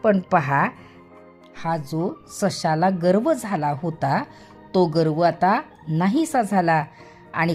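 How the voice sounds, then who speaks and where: A woman's voice: on the low side, a little mellow. A woman telling a story to young children expressively, drawing out and repeating words for emphasis, with music behind her. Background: background music.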